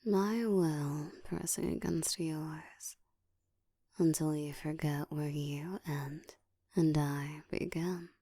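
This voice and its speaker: A woman playing a seductive, domineering ancient vampire in an intimate ASMR roleplay, speaking in a slow, breathy whisper with a teasing, commanding manner, close to the mic. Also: low-pitched, fairly even intonation, dark tone, no room sound, heavily acted. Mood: dark, domineering. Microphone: close microphone.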